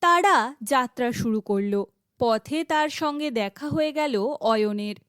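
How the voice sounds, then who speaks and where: A woman narrating a scripted fairytale for an animated children's story. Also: high-pitched, slow pace, clear, resonant tone, no echo, clean studio sound.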